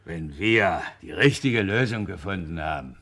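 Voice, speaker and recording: Bright, low-voiced detective. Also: male voice, lively intonation, measured pace, husky, exaggerated voice. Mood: satisfied. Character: agreeable, formal male detective. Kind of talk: 1960s radio drama acting.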